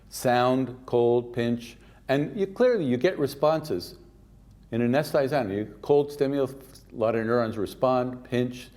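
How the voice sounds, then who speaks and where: An older man from Canada delivers a keynote lecture at a scientific conference. He talks in a lively, informal way and drifts into offhand asides, his voice sitting at a middle pitch. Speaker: keynote speaker.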